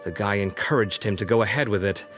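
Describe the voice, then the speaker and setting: A man's voice: on the low side, quick pace, a bit bright, natural voice. A man playing a young male scholar who talks in a dry, matter-of-fact way. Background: game music.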